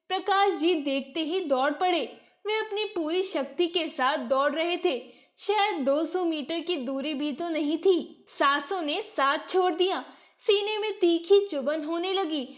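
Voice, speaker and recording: A woman's voice: very high voice, sharp tone. A woman narrating a scripted moral story as a storyteller.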